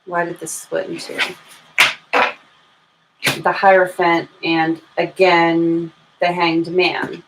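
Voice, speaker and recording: Lower-voiced American woman reading tarot live. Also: completely flat delivery, slow, long pauses, poor audio. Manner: informal, thinking aloud, addresses viewers affectionately. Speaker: tarot reader hosting a live stream. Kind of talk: casual live tarot reading, chatting to viewers. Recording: clear background noise and room ambience.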